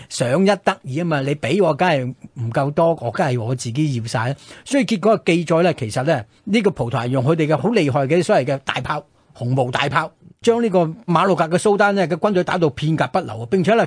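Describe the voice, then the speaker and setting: A man's voice: fairly high pitch, husky, dry recording. A man with a Hong Kong Cantonese accent telling history conversationally as a radio co-host, explaining with emphatic turns of phrase.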